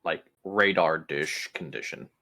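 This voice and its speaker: A man playing a tabletop roleplaying game, asking casual questions and thinking aloud haltingly. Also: very deep voice, creaky, pushed and bright. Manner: hesitant, musing, thinking aloud.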